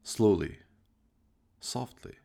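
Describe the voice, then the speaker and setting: A man playing a sinister, courtly fireside storyteller, narrating a horror radio play with slow, mock-polite, menacing theatricality. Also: warm tone. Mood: ominous, wry.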